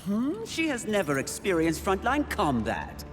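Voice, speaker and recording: A man's voice: high-pitched, wildly swooping pitch, a little slow, heavily acted. A man playing a seductive, mocking demon of lust in a video game, theatrical, coaxing and taunting. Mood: contemptuous. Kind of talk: dramatic video game acting. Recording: music under the voice.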